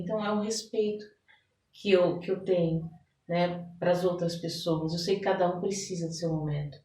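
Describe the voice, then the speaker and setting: A woman's voice: mid-range voice, a little mellow. Echoey, distant-sounding São Paulo woman. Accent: Brazilian, São Paulo. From São Paulo, Brazil. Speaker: podcast guest. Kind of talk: conversational interview. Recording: roomy echo, distant microphone.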